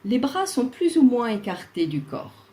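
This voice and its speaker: A woman leading a guided yoga relaxation, speaking soothingly and leaving long pauses between short instructions. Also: fairly high pitch, big pitch swings, dark tone.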